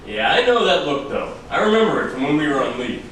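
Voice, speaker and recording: A man's voice: fairly high pitch, very animated, fast talker, fairly bright tone. A man playing a teasing, probing detective in a staged reading, speaking in a conversational, musing way. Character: male detective, teasing, probing friend of another investigator. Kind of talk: stage acting at a script reading. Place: large room, staged reading recorded from afar. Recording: echoey room, far from mic, noisy.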